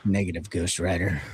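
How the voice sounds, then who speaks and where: Hoarse, rambling fishing-show man. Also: deep voice, dry recording. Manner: energetic, rambling, filler-heavy. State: hoarse, voice worn out. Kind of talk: casual livestream chat with sponsor reads. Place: region of central North Carolina.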